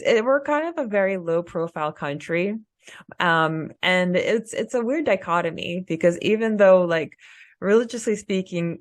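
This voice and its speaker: Casual podcast co-host: woman, slightly low voice, very dry recording. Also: dry recording. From Canada.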